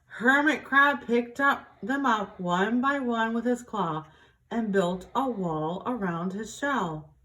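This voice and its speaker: A woman reading a picture book aloud to young children in an expressive, deliberate teacher's way. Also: a little high, poor audio.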